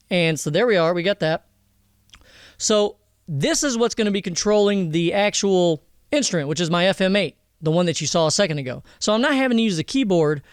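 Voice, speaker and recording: A man presenting a casual software tutorial in a slangy, jokey, irreverent way, speaking close to a studio microphone. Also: sits high, smooth, no echo.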